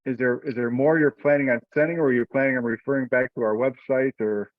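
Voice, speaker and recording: A man's voice: medium pitch, steady tone, quick pace, no room sound. A man chairing a group teleconference in a conversational, somewhat rambling and hesitant way. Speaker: meeting chair. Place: remote group conference call. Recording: dull, lo-fi conference call audio.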